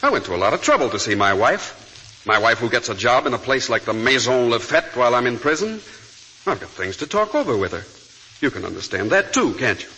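An actor playing a menacing, bitter escaped murderer with mocking politeness and a husky voice, in 1940s radio drama style. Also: male voice, mid-range voice, big pitch swings, a little fast, sharp tone, slight background noise, rough recording. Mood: quietly menacing. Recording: vintage 1940s radio broadcast.

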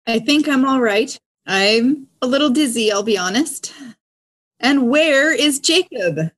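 A woman's voice: sits high, lively intonation, a little slow, a bit bright. A woman guest player improvising in-character conversation in a role-play podcast.

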